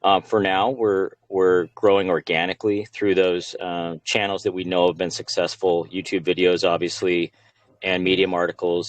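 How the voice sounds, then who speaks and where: A man's voice: low-pitched, no echo. A man from a project team answering questions on a live audio chat, with many fillers and restarts. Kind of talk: casual AMA answer.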